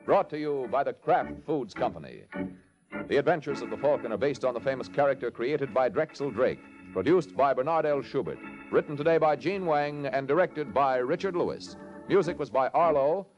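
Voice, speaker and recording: A man's voice: medium pitch, lively intonation, normal speed, darkish tone, soft background music. An American man announcing on 1950s radio in a hearty, polished, scripted sales delivery. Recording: dull, band-limited old radio recording.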